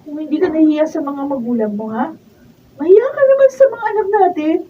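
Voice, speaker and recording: A woman's voice: high voice, expressive, brisk. An actress playing a long-suffering young pregnant wife and mother in a Filipino radio drama, with emotional delivery. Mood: pleading. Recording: dull, distant mic, faint noise.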